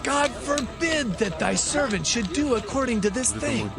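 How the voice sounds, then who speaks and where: Man acting very high-pitched indignant biblical servant. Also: animated, unhurried, vocal fry, music in the background, exaggerated voice. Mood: shocked, indignant. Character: protesting male biblical brother, indignant humble servant. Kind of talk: dramatized Bible audio acting.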